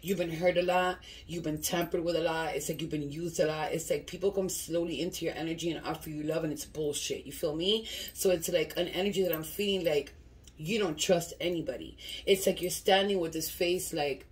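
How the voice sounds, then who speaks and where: Low-voiced, stuffy-nosed woman reading tarot. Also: fairly even intonation, fairly quick, recorded from a distance. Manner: loose and conversational, swears, repeats "you feel me". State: congested, stuffy from a cold. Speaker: tarot reader. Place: dim candlelit room indoors.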